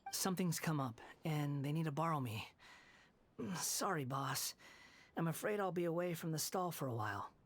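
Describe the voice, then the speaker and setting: Soft-spoken, subdued young wanderer. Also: male voice, on the high side, animated, normal speed. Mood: apologetic. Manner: soft-spoken, formal, apologetic. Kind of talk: video game voice acting.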